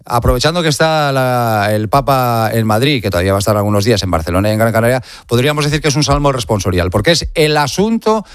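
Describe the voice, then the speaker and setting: Man, Spain Spanish accent, sports radio host, fast delivery, emphatic, conversational, dry close sound. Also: medium pitch, expressive, clean tone, no room sound. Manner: emphatic, rhetorical questions and asides. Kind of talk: conversational sports news presenting.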